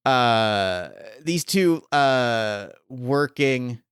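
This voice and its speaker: Smooth-voiced man running a tabletop game. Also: medium pitch, animated, extremely slow, a bit bright, no room sound, clean studio sound. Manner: thinking aloud with many fillers and restarts. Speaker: game master. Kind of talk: improvising a tabletop game scene.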